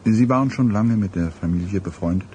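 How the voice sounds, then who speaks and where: An actor plays a grave police detective with a low voice. He muses haltingly, troubled and puzzled, in the manner of a radio play. Outdoor ambience is clearly audible behind him. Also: darkish tone, a little hiss or hum, close to an everyday voice. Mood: grim, disturbed. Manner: halting, grave, musing pauses. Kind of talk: German radio drama acting.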